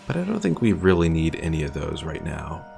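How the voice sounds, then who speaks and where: A man with a low, fry-laden voice streams a game. He comments casually and thinks aloud about each move, with faint music underneath. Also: wildly swooping pitch, fairly quick, darkish tone. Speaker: gaming streamer.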